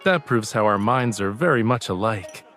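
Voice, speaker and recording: Low-voiced tender romantic young man, acted. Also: expressive, normal speed, a bit bright. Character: tender, playful, romantic young man wooing his beloved. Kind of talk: scripted romantic audio drama. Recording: music behind the voice.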